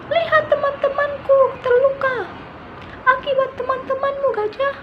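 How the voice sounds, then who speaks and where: A woman voicing a tiny, fierce ant defending its home, shrill and shouting through tears. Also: fairly even intonation, moderate pace, dull audio. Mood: indignant. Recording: noisy background with passing traffic.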